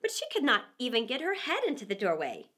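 A woman reads a storybook to children in a high, very melodic voice. Her delivery is theatrical, leaning on key words and pausing for drama, and her pitch moves widely from phrase to phrase. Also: wildly swooping pitch, fairly bright tone, husky. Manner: theatrical, with dramatic pauses and emphasis. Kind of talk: expressive scripted storybook read-aloud for children.

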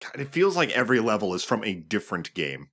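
A man co-hosting a let's play with lively, joking casual commentary. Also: lowish voice, fast talker, slightly rough. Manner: animated, joking.

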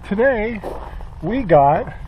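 A man's voice: high-pitched, sing-song, takes it slowly, phone-quality sound, a little hiss or hum. An older man chatting casually to a handheld camera outdoors on his rural homestead, rambling with lots of fillers and little chuckles. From Central Oregon, USA. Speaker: homestead vlogger. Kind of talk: casual vlog talk to camera. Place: outdoors on a rural property and in a garage. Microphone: handheld camera at arm's length.

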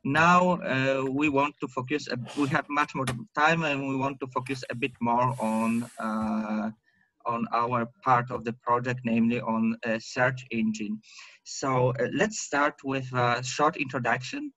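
Call host, slow halting man, dry close sound, earphone mic. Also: medium pitch, pushed and bright, no echo, poor audio. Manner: halting, many pauses and fillers. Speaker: meeting host. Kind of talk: video call meeting.

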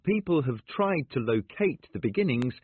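A man speaking in the measured, formal style of an academic lecture. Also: mid-range voice, big pitch swings, slightly rough. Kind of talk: academic lecture and question, clearly delivered. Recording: thin phone-like audio, dry.

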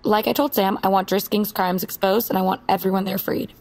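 A woman's voice: medium pitch, flat delivery, a little fast, darkish tone, lightly acted. An actress playing a determined, vengeful young woman, blunt and resolute, in a naturalistic audio drama. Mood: fierce resolve.

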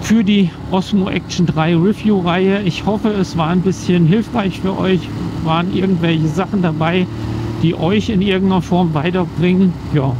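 A man's voice: high-pitched, moderate pace. A middle-aged German man riding a motorcycle and casually explaining camera gear in a rambling way, full of fillers, through a helmet mic. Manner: relaxed, rambling with many fillers. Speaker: motovlogger reviewing action cameras. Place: riding a motorcycle outdoors on country roads. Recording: muffled helmet mic with wind and engine noise.

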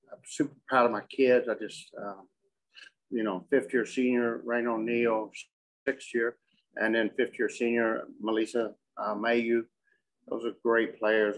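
A middle-aged man, a coach answering questions at a postgame press conference in a measured, halting way, often repeating words. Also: on the low side, rough recording. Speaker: softball coach. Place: indoor press conference room with curtain backdrop.

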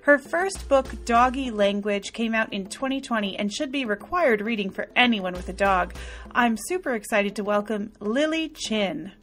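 A woman podcast host introducing and interviewing a guest in a clear, prepared way. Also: fairly high pitch, moderate pace, fairly bright tone, faint music behind.

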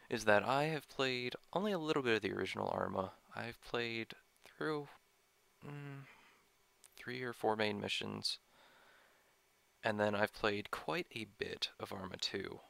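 Young man, casual gaming commentator, low gravelly voice with a bright edge. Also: dry recording. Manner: casual, chatty, self-deprecating. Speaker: gameplay commentator. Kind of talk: let's play commentary.

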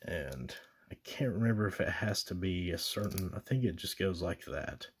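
Low-voiced man talking through a toy. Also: animated, moderate pace, husky. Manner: casual, talks viewers through steps with fillers and self-corrections. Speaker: toy reviewer. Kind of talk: casual hands-on toy demonstration. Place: indoors at a table with a posterboard backdrop.

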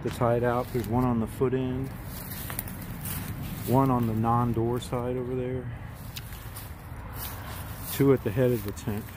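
Guy in his 40s talking thru a tent review on his phone outside, kinda monotone, lots of ums, traffic noise behind. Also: medium pitch, a little slow, pauses often. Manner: relaxed, plain-spoken, frequent "um"s. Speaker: gear reviewer. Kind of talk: casual product walkthrough. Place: outdoors in a backyard, inside and beside a tent. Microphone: phone camera, handheld and at arm's length. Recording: phone recording outdoors with background noise.

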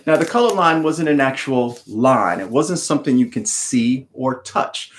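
A middle-aged American man giving an expressive storybook read-aloud with explanatory asides, speaking emphatically with dramatic pauses. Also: medium pitch. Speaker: author reading his book aloud. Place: home room with bookshelves, indoors.

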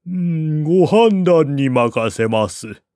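A man voicing a blunt, unruffled, aloof strategist in an audiobook, gruff and curt with drawn-out words. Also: fairly high pitch, animated, dark tone, no room sound, clean studio sound, pitched above the performer's own voice. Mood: deadpan, blunt. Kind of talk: audiobook character voice.

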